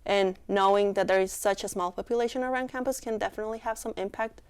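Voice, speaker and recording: A woman's voice: mid-range voice, fairly even intonation, quick pace, a little mellow, clear, resonant tone. A young woman from Mexico with a Mexican Spanish accent, earnestly answering interview questions with many fillers. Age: young adult. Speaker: student club member, interviewee.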